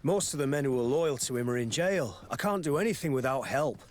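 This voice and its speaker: A man's voice: medium pitch, lively intonation, fairly quick, slight background noise, close to an everyday voice. An actor playing an anxious, loyal male quartermaster in a video game, earnest and worried.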